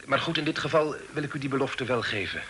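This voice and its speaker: Earnest young gentleman, bright voice. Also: mid-range voice, lively intonation, fast talker. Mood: earnest, principled. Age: young adult. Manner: earnest, formal, sometimes hesitant. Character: honest, dutiful, polite young gentleman in a period tale. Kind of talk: radio drama acting. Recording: noisy old radio drama recording.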